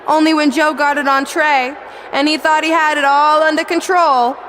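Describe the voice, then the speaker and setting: A woman's voice: sits high, fairly even intonation, normal speed, fairly bright tone, a little hiss or hum, rough recording. A woman playing a vain, scheming wrestling villain in a video game, giving a smug, mocking, theatrical promo. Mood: smug gloating.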